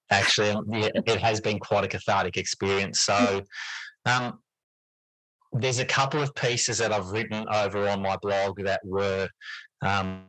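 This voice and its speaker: Male interview guest, lowish voice, close dry sound, thoughtful and conversational, feels his way through sentences. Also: fairly bright tone, no room sound, poor audio. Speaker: interview guest, teacher and writer. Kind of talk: reflective conversational interview answers.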